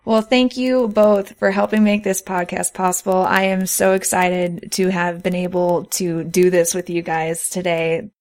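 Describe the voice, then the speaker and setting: A woman's voice: on the low side, flat delivery, unhurried, hardly any pauses. A woman hosting a podcast in an earnest, encouraging, conversational way. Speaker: podcast host.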